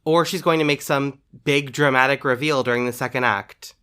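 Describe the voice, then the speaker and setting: A man's voice: mid-range voice, steady tone, moderate pace, bright, forceful tone, smooth, close to an everyday voice. A man playing a petulant, dramatic superhero playwright in an audio drama. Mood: sulky, petulant. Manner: petulant, melodramatic. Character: sulky, dramatic fired superhero playwright, male.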